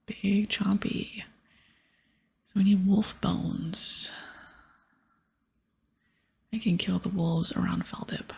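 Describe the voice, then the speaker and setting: A woman hosting an ASMR game playthrough in a breathy whisper, narrating and reading dialogue aloud close to the microphone. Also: mid-range voice, fairly even intonation, slow, pauses often. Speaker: ASMR gaming host.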